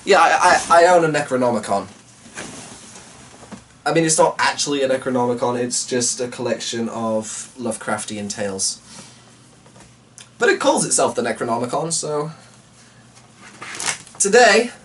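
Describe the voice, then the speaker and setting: A man's voice: medium pitch, animated, moderate pace, long pauses, a bit bright. A man hosting a casual vlog, rambling to his viewers in a muttering, distracted, mildly exasperated way. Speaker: vlog host. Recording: distant mic with faint background noise.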